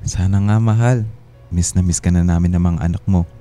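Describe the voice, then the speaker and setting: A man's voice: low-pitched, steady tone, fairly quick, dark tone, natural voice. An actor playing an affectionate husband and father in a radio drama. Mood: tender. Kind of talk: Filipino radio drama acting. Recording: music behind the voice.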